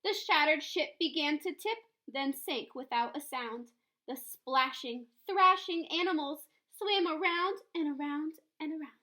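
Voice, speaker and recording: A woman reading a rhyming children's picture book aloud in an expressive storytelling style. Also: high-pitched, measured pace.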